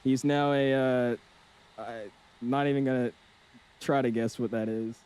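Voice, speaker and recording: Male streamer, casual and excitable, warm-toned voice. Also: medium pitch, a little slow, clear, resonant tone. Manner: joking, excitable. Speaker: flight sim streamer. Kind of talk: casual chat while flying.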